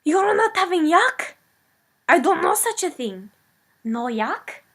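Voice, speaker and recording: A woman's voice: mid-range voice, normal speed, lightly acted. A woman doing Olga, rambling old-country immigrant. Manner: broken English, meandering, deadpan comic storytelling. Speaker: comedic character. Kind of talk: improvised comic character monologue.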